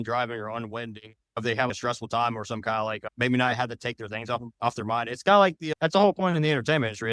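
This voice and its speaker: A man's voice: mid-range voice, a bit bright, clean tone, no echo. A man giving casual, rambling interview answers as a podcast guest, in loosely phrased explanations. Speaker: podcast guest, audio drama creator.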